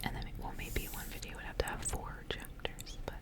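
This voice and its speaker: A young woman whispers softly in an ASMR style. Her delivery is breathy and almost entirely unvoiced, kept hushed throughout. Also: a little fast. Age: young adult. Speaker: ASMR creator. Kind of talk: whispered ASMR, casual rambling and story reading.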